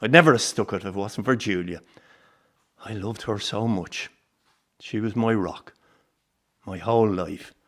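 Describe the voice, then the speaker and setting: A man acts an elderly Irish circus grandfather in a radio play. His voice sits on the low side, and he speaks as a fond, nostalgic family man who still carries grief. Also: measured pace, long pauses, fairly bright tone. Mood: wistful. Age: older. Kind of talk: radio drama acting.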